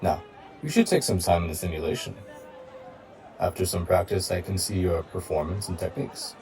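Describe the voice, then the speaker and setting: Man voicing a deep, gravelly giant combat robot. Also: darkish tone, far from the mic, soft background music. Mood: measured, mildly reproachful. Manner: formal, measured, literal-minded. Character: loyal, formal giant combat robot AI, protective partner. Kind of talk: scripted audio roleplay addressed to the listener.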